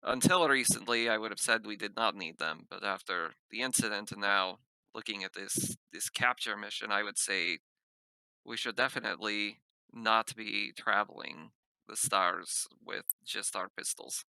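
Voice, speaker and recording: Gravelly, bright-voiced spaceship captain played by a man. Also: on the low side, no echo, heavily acted, poor audio. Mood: firm, practical. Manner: halting, clipped phrasing with dropped articles. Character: cautious, practical male spaceship captain, dryly skeptical. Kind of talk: improvised tabletop roleplay.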